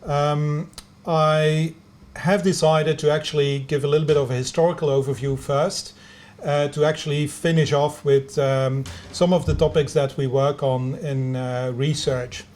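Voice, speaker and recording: A middle-aged man from Belgium whose first language is Dutch, giving a lecture as a biomedical engineer, speaking English with a Belgian Dutch accent and many pauses, fillers and restarts. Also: a little high, fairly even intonation, darkish tone. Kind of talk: lecture to students over video conference.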